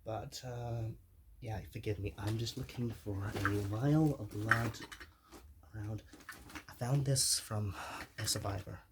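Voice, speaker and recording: A man playing a shy, awkward, gentle zombie in an ASMR roleplay, speaking softly and hesitantly and drifting into whispers. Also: fairly low pitch, steady tone, a little slow, very noisy. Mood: awkward, apologetic. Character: shy, awkward, soft-hearted young male zombie.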